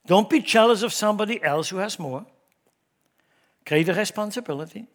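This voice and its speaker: A middle-aged man with a Swiss German accent, preaching a sermon in church, emphatic and shifting from loud to hushed. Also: high-pitched, moderate pace. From Switzerland. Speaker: pastor.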